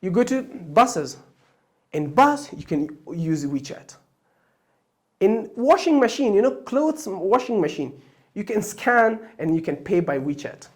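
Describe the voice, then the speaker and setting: A host, a Persian and Pashto speaker, talks through the plan for a language course without a script. The speech is full of ums, uhs and false starts. The voice sits at a middling pitch and leaps upward on words being stressed. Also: sing-song, unhurried. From Afghanistan. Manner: hesitant, many fillers and restarts, pitch jumping up on key words. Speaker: online Chinese course host. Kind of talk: unscripted explanation of a course plan.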